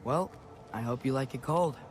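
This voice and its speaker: A man's voice: medium pitch, normal speed, background noise, lightly acted. A young male actor playing an earnest, stubborn, cheeky teenage boy in a video game, talking casually. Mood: teasing, casual. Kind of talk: video game cutscene acting.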